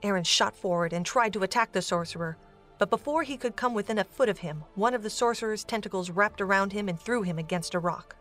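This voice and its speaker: A woman's voice: medium pitch, quick pace, fairly bright tone. A woman narrating a scripted dramatic story as the narrator of an audio drama. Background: music.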